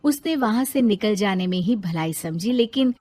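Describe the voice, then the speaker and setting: A woman's voice: medium pitch, expressive, normal speed, mellow. A woman storyteller reading scripted narration for a moral tale.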